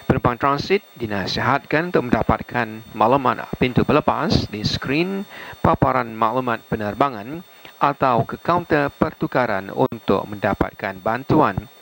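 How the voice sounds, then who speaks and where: A man with a Malaysian accent reading formal cabin crew announcements in a halting way. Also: medium pitch, expressive, vocal fry, a bit bright. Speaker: airline cabin crew member. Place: aircraft cabin, over the PA. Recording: faintly noisy aircraft cabin announcement audio.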